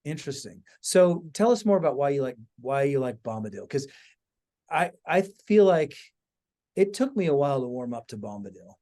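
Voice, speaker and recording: Man, mid-pitched voice, podcast host, enthusiastic, informal, casual conversation. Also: big pitch swings, moderate pace, mellow.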